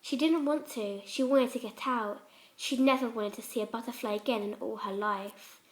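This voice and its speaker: A woman's voice: a little high, steady tone, moderate pace. A woman reading fan fiction aloud as a dramatic scripted story narration.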